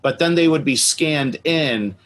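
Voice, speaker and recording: A man's voice: on the high side, measured pace. A man hosting a casual interview, talking as an enthusiastic fan to his guest.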